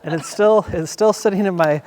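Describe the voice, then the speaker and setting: Fast-talking earnest man. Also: high voice, darkish tone, poor audio. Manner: quick, earnest, restarting. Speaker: performing arts center chief executive. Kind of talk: panel conversation.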